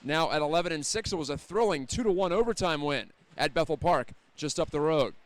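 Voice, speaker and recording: A man's voice: on the high side, animated, fairly quick, no room sound. An American man doing live play-by-play hockey commentary, describing the action in detail as it unfolds.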